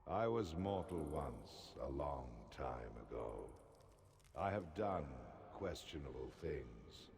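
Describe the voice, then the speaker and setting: An actor playing an ancient male god in a fantasy game, grave, deliberate and formal, with solemn regret and command. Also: rumbling low, lively intonation, exaggerated voice. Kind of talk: scripted fantasy game acting. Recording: noticeable background ambience noise.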